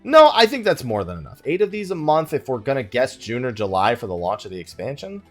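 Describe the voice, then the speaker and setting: A man's voice: medium pitch, sing-song, fast talker, a bit bright, faint music behind. A man giving casual let's play commentary, rambling and thinking aloud as he plays. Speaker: gaming YouTuber hosting a let's play.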